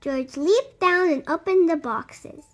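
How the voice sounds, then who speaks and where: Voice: very high voice, slow pace, over music. A storybook reader narrating a picture book expressively for young children.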